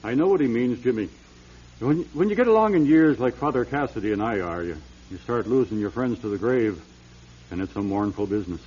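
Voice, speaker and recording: An actor playing a genial, tactful aging bishop in a radio drama, with a husky avuncular voice on a muffled recording. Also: male voice, medium pitch, a little fast. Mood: gently chiding. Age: older. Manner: avuncular, hesitant, animated.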